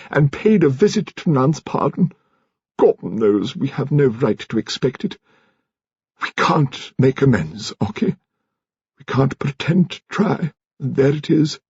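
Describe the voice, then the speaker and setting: A British man voicing a dignified, upper-class old lady in an audiobook, proud and resigned, with slow, emphatic delivery. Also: medium pitch, darkish tone, slightly rough, no echo, highs cut off, pitched above the performer's own voice, exaggerated voice.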